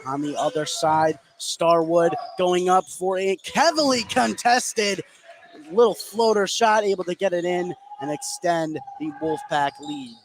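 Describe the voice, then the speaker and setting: Higher-voiced American man calling basketball over loud noise. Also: steady tone, measured pace. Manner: running, descriptive call of the action. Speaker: play-by-play radio commentator. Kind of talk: live basketball commentary. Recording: loud gym noise behind live broadcast. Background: noisy indoor basketball gym.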